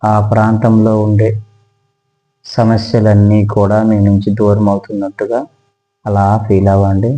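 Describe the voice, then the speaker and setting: A man leads guided yoga relaxation in a low, mellow voice. His delivery is slow, calm and very even, leaving pauses between the instructions. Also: low-quality recording.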